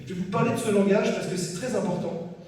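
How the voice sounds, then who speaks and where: A man giving a personal testimony at a conference, telling his story in a lively way and acting out the dialogue. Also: high voice, quick pace, a little hiss or hum. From French-Mexican family. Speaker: conference speaker. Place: large hall at a conference. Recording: echoey hall from far off.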